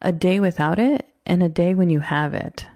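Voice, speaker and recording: A woman's voice: fairly low pitch, normal speed, a little mellow, dry recording. A woman hosting a podcast who coaches people, asking eager, probing questions in a casual way.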